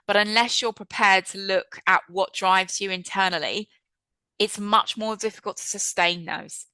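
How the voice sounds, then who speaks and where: A woman in her fifties with a bright, clear voice, heard dry and close. She hosts a webinar over a video call in a chatty, reflective way. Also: medium pitch, no room sound, poor audio. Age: 50s. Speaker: webinar host and trainer. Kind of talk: presenting a webinar.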